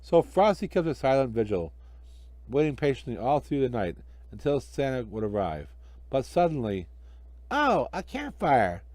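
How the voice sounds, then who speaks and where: A man reading a children's story aloud as an expressive storyteller. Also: medium pitch, moderate pace, dry recording.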